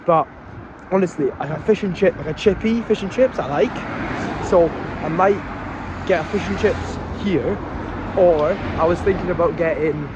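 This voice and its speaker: A man's voice: fairly high pitch, muffled recording. A young man, chatting casually and rambling as he vlogs his day trip, swearing now and then. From Scotland. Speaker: travel vlogger. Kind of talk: casual vlog narration. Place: outdoors in a windy coastal town and city streets. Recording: noisy outdoor phone vlog.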